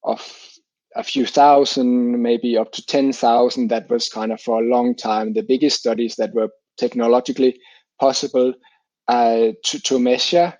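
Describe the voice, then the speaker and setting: A middle-aged man from Denmark, explaining his scientific work as an interview guest in halting phrases with repeated words. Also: mid-range voice, fairly even intonation, darkish tone, dry recording, low-quality recording. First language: Danish.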